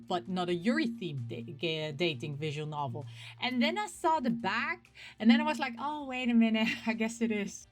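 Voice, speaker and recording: A woman hosting a casual video about games, talking in an expressive, rambling way and repeating words for emphasis. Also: mid-range voice. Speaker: host of a game collecting show. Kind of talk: casual chat, showing game pick-ups.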